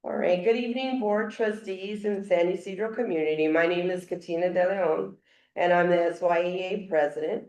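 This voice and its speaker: A woman, a teachers' union president, reading a steady, formal public comment to a school board. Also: fairly low pitch, moderate pace. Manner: steady, formal, self-described loud voice. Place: school board meeting room. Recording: echoey meeting room.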